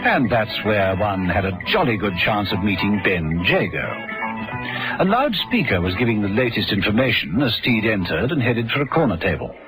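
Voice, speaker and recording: Low, arch British narrator over music. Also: male voice, big pitch swings, moderate pace, low-quality recording. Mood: scene-setting. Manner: arch, wry. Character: arch, jovial male British storyteller. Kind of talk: scripted radio drama narration. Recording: music playing behind.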